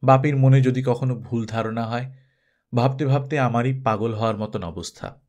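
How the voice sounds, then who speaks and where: A man's voice: mid-range voice, moderate pace, a little mellow, close to an everyday voice. A man voicing an anxious, devoted mother in an audiobook story, earnest and pleading. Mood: anxious confiding. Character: anxious, devoted young mother writing a pleading farewell letter.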